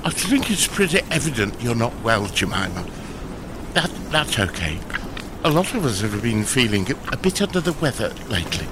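A deluded, cheerful rescuer played by a man with a mid-pitched, lively voice. He is awkward and blandly upbeat in a sci-fi audio comedy, with clear outdoor noise around him. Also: moderate pace, lightly acted. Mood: upbeat, reassuring.

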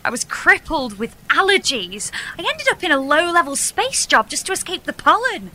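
An aggrieved grown daughter in her thirties in a comic audio drama. Her voice is high, bright and animated, blunt and insistent, as she demands answers. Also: moderate pace, full character voice. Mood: hurt, aggrieved. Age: 30s. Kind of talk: audio comedy drama acting.

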